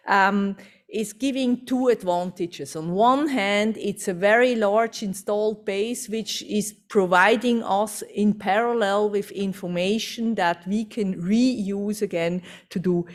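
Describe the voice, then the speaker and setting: Swiss-accented woman giving a talk. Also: medium pitch. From Switzerland. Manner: fluent non-native English with fillers, upbeat. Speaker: CEO presenting. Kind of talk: conference presentation.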